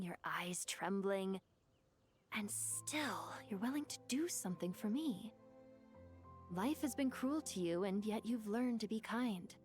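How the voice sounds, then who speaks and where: A woman's voice: medium pitch, lightly acted. A grand, ancient djinn woman, voiced by an actress for a video game. She speaks formally and eloquently, with a wistful, devoted air and theatrical phrasing. Music plays behind her voice. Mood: solemn, yearning.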